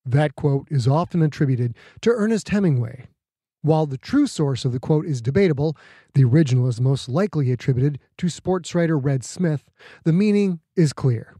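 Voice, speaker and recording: A man reads a reflective, scripted note as an author. His voice is slightly high in pitch but very dark and mellow. The recording is dry and close. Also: lively intonation, moderate pace, slightly rough, dry recording.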